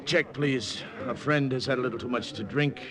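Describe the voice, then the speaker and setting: An actor playing a wry, guarded American undercover agent who talks and narrates tersely in a 1950 radio drama. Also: male voice, mid-range voice, moderate pace, crowd noise, close to an everyday voice. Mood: wry, hard-boiled. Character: tense, wry American undercover agent, quietly hard-boiled. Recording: vintage radio recording with music.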